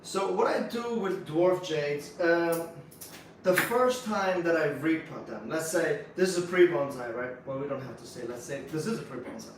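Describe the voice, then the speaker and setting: A man with a Swiss accent co-hosting a casual bonsai livestream, explaining techniques in a relaxed, practical way with lots of fillers. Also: fairly high pitch, moderate pace, noisy background. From Switzerland. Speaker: bonsai nursery co-host. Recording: echoey room, mic at a distance.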